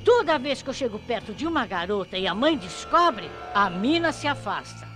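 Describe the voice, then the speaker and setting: A performer playing a naive twelve-year-old boy in a radio mystery play, expressive and exclamatory. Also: medium pitch, moderate pace. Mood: grumbling. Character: twelve-year-old boy, grumbling, eager, easily frightened. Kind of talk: radio mystery drama acting. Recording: AM radio drama with loud music behind.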